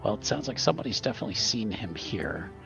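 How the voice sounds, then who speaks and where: Man playing a courteous adventurer with gravelly voice. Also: lowish voice, very animated, exaggerated voice. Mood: earnest, halting. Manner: halting, courteous. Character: polite, hesitant gentlemanly adventurer man. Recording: muffled mic, music behind.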